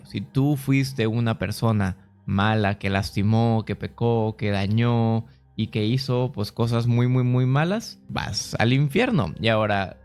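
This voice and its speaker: A man's voice: mid-range voice, gravelly, a little mellow. A man with a Mexican accent narrating a casual explainer in an informal, conversational way, speaking directly to viewers. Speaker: video host.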